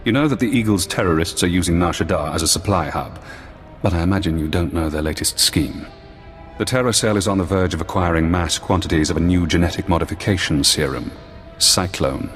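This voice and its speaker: Deep voiced paranoid spy genius, clipped and bossy, game cutscene, big reverb, loud music behind. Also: male voice. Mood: calculating. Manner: measured, clipped, commanding. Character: paranoid, brilliant imprisoned ex-intelligence analyst, cool and controlling. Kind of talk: video game voice acting.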